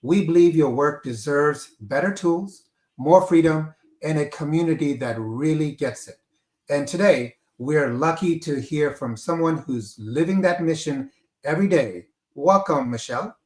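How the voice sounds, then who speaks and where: A man hosting a live interview, talking haltingly with many fillers and a repeated "on there". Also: on the high side, a little mellow, reverberant. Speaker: host, company director of school services.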